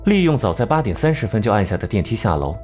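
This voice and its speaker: Crime animation detective: analytical, sardonic, measured, with very mobile intonation over a light music bed. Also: male voice, lowish voice, big pitch swings, a little mellow. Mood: coolly explaining. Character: cool, sardonic male genius detective. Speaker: detective laying out a case. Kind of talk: animated crime drama acting, deductive exposition. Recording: music behind.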